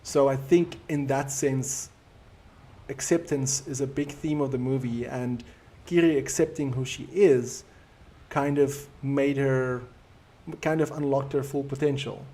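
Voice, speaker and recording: A man with a South African accent hosts a casual live stream, talking through viewer comments at an easy pace. His voice sits at an ordinary middle pitch, and his native Afrikaans shows through. He sounds thoughtful and conversational. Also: fairly even intonation, a little slow, warm tone. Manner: reflective, easygoing and conversational.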